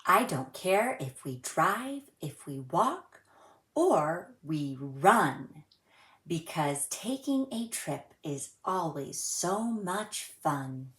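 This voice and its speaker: Slow, echoey woman reading a children's story. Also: on the low side, very animated, fairly bright tone. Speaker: story time host reading a children's book aloud. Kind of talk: children's read-aloud storytelling and friendly presenting to young viewers.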